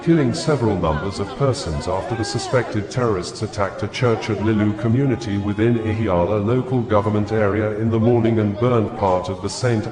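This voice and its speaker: A man's voice: fairly low pitch, darkish tone. A man reading scripted news in a formal, wordy read-aloud delivery. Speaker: news reader. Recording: echoey, reverberant room.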